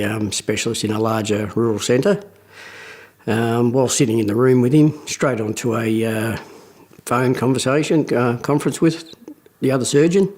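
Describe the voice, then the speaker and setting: A man in his fifties telling his own story plainly, pausing often with fillers. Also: medium pitch, darkish tone. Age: 50s. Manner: plain-spoken, halting with fillers. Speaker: patient sharing his story. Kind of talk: personal testimony.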